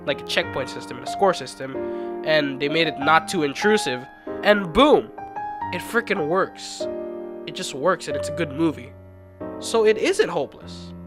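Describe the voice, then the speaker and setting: A video essayist narrating in a casual, informal and self-deprecating way, as if reading a loose script. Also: mid-range voice. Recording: music playing behind the voice. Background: background music.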